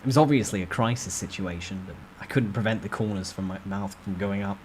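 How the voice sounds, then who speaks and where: A man's voice: on the low side, brisk. Dramatic male storyteller, noisy recording. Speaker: narrator. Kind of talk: dramatic first-person storytime narration, ASMR.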